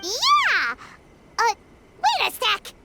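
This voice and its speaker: Tiny excitable game mascot girl: tiny squeaky voice, animated, frank. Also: very slow, gravelly, heavy background noise, full character voice. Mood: excited. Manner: bubbly, exclamatory, informal. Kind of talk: anime video game voice acting.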